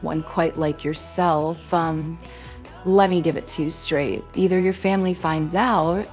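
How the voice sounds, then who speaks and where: A woman playing a scheming, falsely sweet gold-digging widow in a roleplay drama, coy and smug with drawn-out mock sympathy. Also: low voice, steady tone, a little mellow, soft background music. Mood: coy, menacing.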